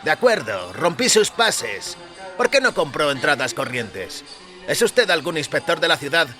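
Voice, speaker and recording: A man's voice: fairly high pitch, very animated, unhurried, pushed and bright, soft background music, voice pushed up, exaggerated voice. Man acting a spiteful, insolent ticket-booth bully. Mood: defiant. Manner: curt, insolent, menacing. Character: cruel petty circus ticket-booth man, rude and spiteful. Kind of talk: dramatised short-story dialogue.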